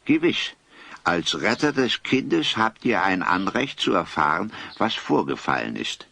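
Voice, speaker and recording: An actor playing a dignified old grandfather in a German audio drama, speaking in a grave, formal, old-fashioned way with a husky voice. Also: deep voice, sing-song, a little slow, sharp tone, exaggerated voice. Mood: courteous. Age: older.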